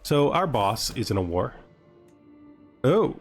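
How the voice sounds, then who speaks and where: A man hosting a gaming video with playful commentary, talking to himself in an animated way and often repeating phrases. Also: medium pitch, slow, soft background music. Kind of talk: playful gameplay commentary with a scripted lore narration.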